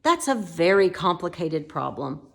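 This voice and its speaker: A woman's voice: fairly low pitch, big pitch swings, unhurried, vocal fry, exaggerated voice. Woman doing a muddled, rambling little man. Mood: polite, puzzled. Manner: rambling, confused, cheerfully evasive.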